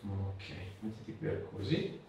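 A man in his thirties with a fairly low voice works as a chiropractor. He gives short, informal instructions and asks brief questions, often repeating commands. The recording is very echoey and picked up at a distance in a small room. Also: animated, a little slow, vocal fry, dark tone, a little hiss or hum. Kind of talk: hands-on patient consultation with questions and short instructions. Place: small treatment room indoors.

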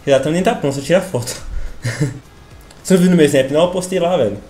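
A young man from Brazil chatting casually in a home vlog, excitable and laughing, with a stuffy nose from a cold. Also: a little high, fast, faint music behind. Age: young adult. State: congested with a cold. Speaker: gaming youtuber. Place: small room at home.

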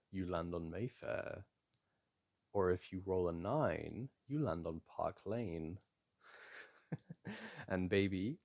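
Man playing a slow, animated boyfriend, teasing and soothing. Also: low voice, heavily acted. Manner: gently teasing and coaxing, halting. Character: playful, teasing, soothing boyfriend at a board game. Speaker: audio roleplay performer. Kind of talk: boyfriend ASMR roleplay, casual intimate chat to listener.

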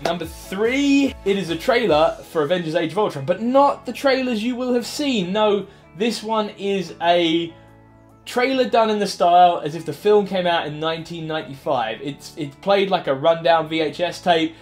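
A man in his thirties with a fairly high voice hosts a casual online show. He sounds animated and chatty, recommending things with enthusiasm. Music plays behind him. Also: normal speed. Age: 30s. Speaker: host of an online video show. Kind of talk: casual upbeat recommendations to camera. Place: small furnished room indoors. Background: background music.

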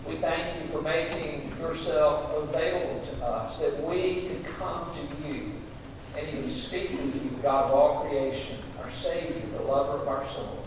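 A middle-aged man, a pastor, reading scripture and praying aloud in a reverent, measured way with pauses between phrases. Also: a little high, steady tone. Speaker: senior pastor. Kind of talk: scripture reading and public prayer. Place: large church sanctuary, at the pulpit. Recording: echoey church hall, distant, faint noise.

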